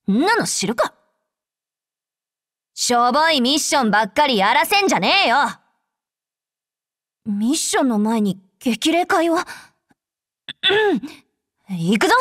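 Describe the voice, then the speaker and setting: An actress playing a brash, hot-tempered young female fighter with rough, curt tomboyish speech, in anime game voice lines. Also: a little high, a bit bright, no echo, clean studio sound. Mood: gruff, curt. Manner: rough, curt masculine speech, easily flustered.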